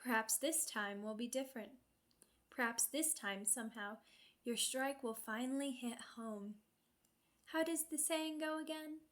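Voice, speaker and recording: A woman playing a sadistic, seductive vampire queen in an ASMR roleplay, with a purring, condescending, theatrical delivery. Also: on the high side. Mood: amused taunting. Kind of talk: ASMR roleplay, scripted villain monologue.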